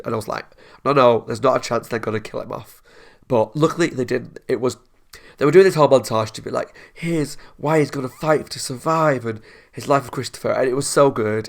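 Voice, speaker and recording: Stuffy-nosed guy with a cold rambling about a tv episode, gravelly creaky voice. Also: medium pitch. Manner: chatty, rambling, laughs often. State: congested with a cold. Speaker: reaction channel host. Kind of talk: casual reaction commentary.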